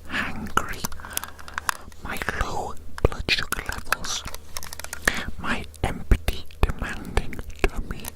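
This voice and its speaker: Casting: whisperer for ASMR roleplay, eccentric apologetic character, fully breathy, lingering slowly over repeated phrases. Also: low-quality recording. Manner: slow, hushed, repeating words and phrases. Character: apologetic, eccentric, hungry creature-eater inspecting a little creature. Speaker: ASMR performer.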